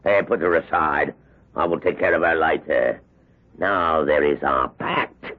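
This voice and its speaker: An actor playing the wicked, gloating ghost of an old-world aristocrat in theatrical old-time radio horror style. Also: male voice, very deep voice, unhurried, narrow, tinny audio. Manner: theatrical, sinister, commanding, archaic phrasing. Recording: echoey reverberant old radio recording, music behind.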